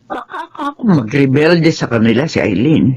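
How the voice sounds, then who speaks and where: An actress playing a worried grandmother who confides gravely in a radio drama. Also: extremely low pitch, huge pitch swings, moderate pace, darkish tone, muffled recording, exaggerated voice. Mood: troubled. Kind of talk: Filipino radio drama acting.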